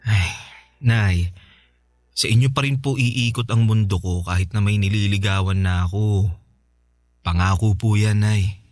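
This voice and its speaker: A man playing a gentle, devoted adult son in a radio drama, respectful, coaxing and affectionate. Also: on the low side, steady tone, unhurried, pauses often, no echo, lightly acted. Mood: sincere, reassuring. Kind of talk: Tagalog radio drama acting.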